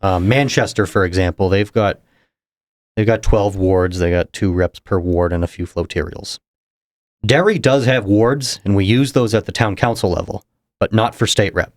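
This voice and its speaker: Young man with a low, dry voice. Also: no room sound. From New Hampshire. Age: young adult. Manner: measured, explanatory, chuckles between points. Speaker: political candidate guest. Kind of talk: casual interview.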